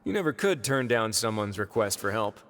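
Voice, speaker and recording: A man playing a calm, wry, authoritative prison overseer in a video game, speaking in a composed, formal way. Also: lowish voice, normal speed. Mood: wry, relaxed. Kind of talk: video game character voice acting.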